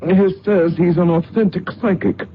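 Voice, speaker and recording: An actor playing a courteous gentleman, formal and matter-of-fact in an old radio drama. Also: high-pitched, steady tone, unhurried. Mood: courteous, informative. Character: proper, courteous English gentleman. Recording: muffled, hissy old radio recording.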